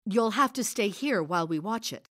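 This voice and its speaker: Composed village healer, animated intonation. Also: female voice, medium pitch, moderate pace, no echo, studio quality. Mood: firm. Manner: steady, coaxing, practical. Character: composed, firm, caring female village healer. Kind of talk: audio drama acting.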